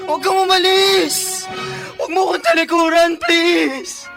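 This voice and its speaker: Young jailed opportunist, Filipino, frantic pleading, very high strained pitch, radio drama with light music. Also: male voice, little pitch movement, measured pace, gravelly, pushed and bright, heavily acted. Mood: desperate wailing. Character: young male gold-digger prisoner, desperate and pleading.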